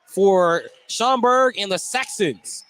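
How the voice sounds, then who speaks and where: A man doing live radio play-by-play of a high school basketball game, describing the action in clipped running phrases. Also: takes it slowly, a bit bright, poor audio. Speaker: play-by-play announcer.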